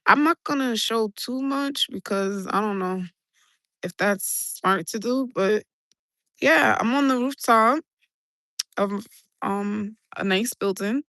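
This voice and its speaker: A woman with a New York accent, chatting casually and excitably on a live stream from a city rooftop, talking into her phone. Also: mid-range voice, takes it slowly, dry recording. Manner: chatty, swearing, rambling and excitable. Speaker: livestreamer. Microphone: handheld phone.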